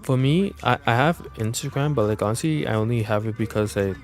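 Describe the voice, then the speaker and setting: A young man chatting casually as a game streamer, rambling and halting as he goes. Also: mid-range voice, a little mellow, soft background music. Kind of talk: casual livestream chat.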